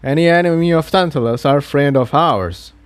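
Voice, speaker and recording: A man voicing an elderly unicorn high priest in a storybook, formal, courteous but guarded, with a strong, clear voice. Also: moderate pace, dry recording, lightly acted. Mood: welcoming, smooth. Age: older. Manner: formal, authoritative. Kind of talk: storybook character voice.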